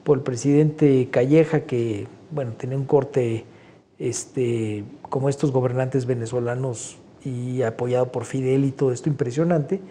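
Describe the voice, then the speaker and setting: Mexican-accented guy in his 60s, super dark mellow voice, chatty and opinionated. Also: mid-range voice, fairly even intonation, a little slow, a little hiss or hum. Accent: Mexican Spanish. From Honduras. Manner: conversational, opinionated, many fillers like "este". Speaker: guest painter. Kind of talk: interview answers.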